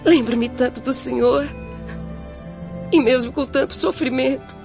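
A woman's voice: high voice, unhurried, gravelly. A woman playing a timid, suffering young daughter, plaintive and sorrowful, in a melodramatic radio play. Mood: sorrowful longing. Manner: tearful, pleading, plaintive. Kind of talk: melodramatic radio drama acting. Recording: lo-fi with loud music behind.

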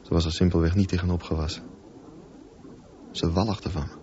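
A man's voice: low-pitched, level tone, moderate pace, mellow, lightly acted. Intense, brooding young male swimmer for radio play, acted by a man; earnest delivery, muffled and noisy sound. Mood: bitter, brooding. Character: intense, brooding teenage boy swimmer with deformed leg. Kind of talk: radio drama acting.

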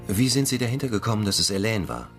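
An actor playing a puzzled police inspector who asks probing, hesitant questions in a crime radio drama, with a husky voice. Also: male voice, on the low side, very animated, quick pace, fairly bright tone, soft background music. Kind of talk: radio drama acting, interrogation.

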